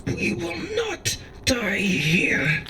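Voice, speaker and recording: A performer in a dramatic ASMR roleplay playing a grave, defiant warlord battling sickness, with halting, theatrical delivery. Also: mid-range voice, huge pitch swings, pushed and bright, distant mic, slight background noise, full character voice. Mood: anguished defiance.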